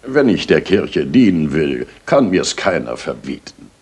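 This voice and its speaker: An actor playing a surly villain, a crooked defrocked priest with a gravelly voice, in a 1980s German radio drama. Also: male voice, very deep voice, sing-song, unhurried, darkish tone, exaggerated voice. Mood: surly, defiant. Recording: slightly noisy retro radio recording.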